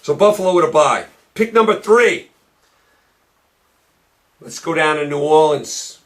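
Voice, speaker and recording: A man hosting a solo sports picks show, hoarse and losing his voice, talking emphatically and repeating points for stress. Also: on the high side, a little slow, fairly bright tone.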